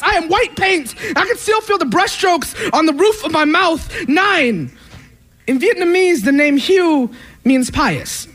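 High-voiced young man performing poetry. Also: a bit bright. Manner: measured, rhythmic, emphatic delivery. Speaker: spoken word poet. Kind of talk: spoken word poetry performance.

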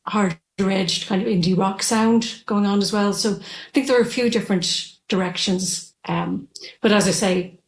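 A woman's voice: medium pitch, moderate pace. Irish female musician, 40s, easygoing with lots of fillers, roomy remote call sound. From Ireland. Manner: relaxed, laughing lightly, with many fillers. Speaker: musician guest. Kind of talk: remote interview. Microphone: video call. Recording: echoey room over video call.

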